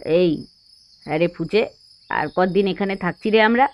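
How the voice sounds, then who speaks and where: A woman voicing an excitable, greedy young uncle in an audio story, blurting and halting, sometimes conspiratorial. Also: on the low side, no echo, voice pushed down, close to an everyday voice. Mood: dismayed. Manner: blurting, halting, dropping to hushed conspiratorial tones.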